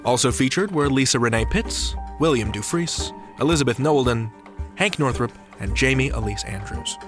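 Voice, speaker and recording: Animated male podcast host over music. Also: mid-range voice, moderate pace. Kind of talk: interviewing and scripted show outro.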